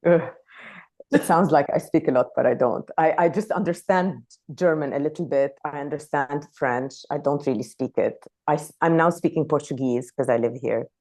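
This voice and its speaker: Low-voiced woman, reflective and soft-spoken. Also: normal speed. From Lebanon. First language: Arabic. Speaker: guest. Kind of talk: casual conversational interview.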